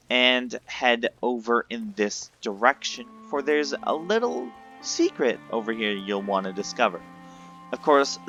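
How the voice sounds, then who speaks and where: A man talks through a game walkthrough in an informal, step-by-step way. His voice is forceful and bright, on a slightly dull lo-fi recording with faint music behind. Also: mid-range voice. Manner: instructive, informal. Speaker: video game guide maker. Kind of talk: walkthrough commentary. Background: video game music.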